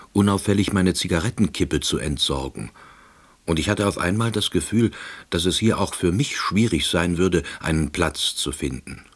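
A middle-aged, pedantic first-person narrator in a scripted radio drama. His voice is deep and husky, delivered with dry, deadpan officiousness. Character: wry, pedantic, laid-off East Berlin man narrating.

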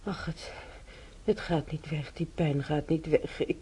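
An actress playing a weary, confused old woman in pain, halting and repeating her words in a radio play. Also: low-pitched, mellow, slightly rough, heavily acted. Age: older. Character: frail old female hospital patient, weary, in pain, confused.

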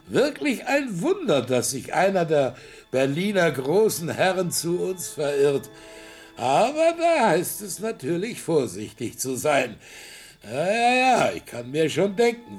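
A proud, bitter old blind collector. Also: male voice, on the high side. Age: older. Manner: boisterous, scornful outbursts swinging to hushed intensity. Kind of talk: radio play acting.

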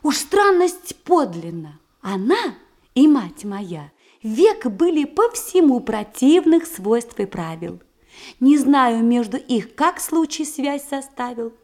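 Virtuous young ward, period verse comedy. High, highly melodic voice, measured declamation, eager to please. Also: female voice, big pitch swings, darkish tone, full character voice. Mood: confiding. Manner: mannered, earnest, measured declamation. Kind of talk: theatrical radio comedy acting in verse.

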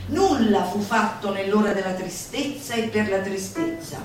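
A woman performing a theatrical, comic declamation with an emphatic, drawn-out delivery. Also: medium pitch, darkish tone. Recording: echoey live recording from far off. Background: music.

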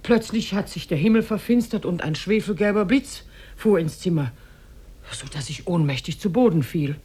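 A woman playing the sober mother of a grown son, husky and grave, in a 1950s radio drama. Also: fairly low pitch, expressive, normal speed, warm tone.

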